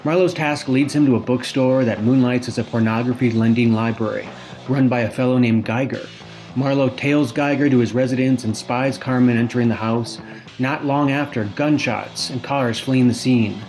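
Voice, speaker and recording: A man reads a prepared plot summary in an even, polished delivery. His pitch sits at a middle level for a man. Soft guitar music plays faintly underneath. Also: normal speed. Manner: smooth, read summary. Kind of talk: scripted narration.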